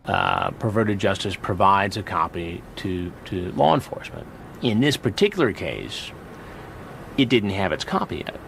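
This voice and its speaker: A man explaining a police procedure in a measured way, with some hesitations, in a clip played on stream. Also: deep voice, expressive, creaky, background noise. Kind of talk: documentary narration interview.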